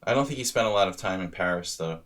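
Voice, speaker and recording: A man's voice: low voice, lively intonation, fast, fairly bright tone. A man in his thirties casually commenting on his chess games on a live stream, joking with chat as he thinks aloud about moves. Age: 30s.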